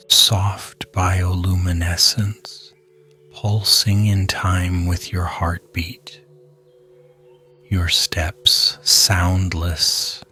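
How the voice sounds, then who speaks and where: A man leads a scripted guided sleep hypnosis in a soft, breathy, often near-whispered voice, soothing and hushed, with lingering pauses between phrases. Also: sits low.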